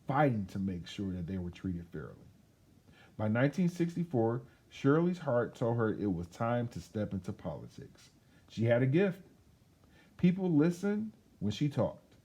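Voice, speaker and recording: A man reads a children's picture book aloud in a mellow, slightly husky voice. His delivery is expressive and emphatic, with pitch that rises and falls freely as he tells the story. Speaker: children's read-aloud host.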